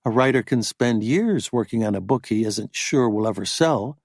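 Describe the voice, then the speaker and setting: A man voicing a calm, soft-spoken male movie star in an audiobook. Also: mid-range voice, normal speed, no room sound, clean studio sound.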